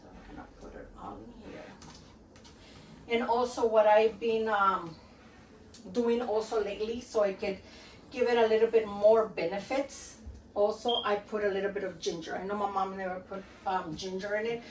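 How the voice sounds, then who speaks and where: A woman's voice: mid-range voice, fairly even intonation, normal speed. Woman, casual cooking vlog, lots of ums and okays, roomy kitchen, faint background hum. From Guatemala. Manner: chatty, rambling, many fillers and "okay?" check-ins. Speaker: home cooking vlogger. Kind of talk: casual home cooking demonstration. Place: home kitchen. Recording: roomy, mic at a distance, faint room noise.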